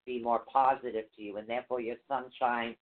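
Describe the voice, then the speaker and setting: A man's voice: medium pitch, level tone, a little fast. A man co-hosting a live psychic call-in show, talking casually and rambling with fillers. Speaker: co-host and reader. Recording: tinny phone-like line, faint noise.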